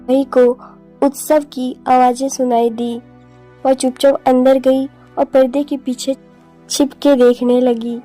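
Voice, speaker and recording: A woman's voice: high-pitched, level tone, takes it slowly, warm tone, faint music behind. A woman reading scripted narration for a children's story.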